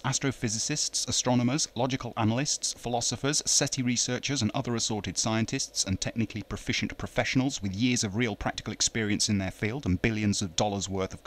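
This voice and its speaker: A man with a slightly low voice narrates at a brisk pace. He explains things like a lecturer, choosing his words precisely, and his delivery is laced with sarcasm and scorn. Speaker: video narrator. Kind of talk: scripted debunking narration.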